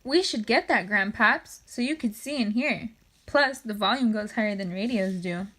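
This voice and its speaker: A woman's voice: mid-range voice, moderate pace, fairly bright tone, natural voice. A woman playing an earnest, concerned young grandchild in a radio advert skit, with a plain delivery.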